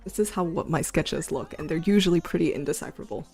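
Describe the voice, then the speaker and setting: A woman's voice: low-pitched, fast, darkish tone, faint music behind. A woman animator chatting casually on a livestream about her sketches, self-deprecating and talkative.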